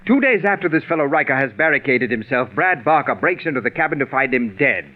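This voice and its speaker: An actor playing a pompous, egotistical radio producer with precise, condescending diction, in the style of 1940s radio drama. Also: male voice, mid-range voice, lively intonation, fast, lightly acted. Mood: dismissive, imperious. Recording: muffled, narrow-band 1940s radio recording.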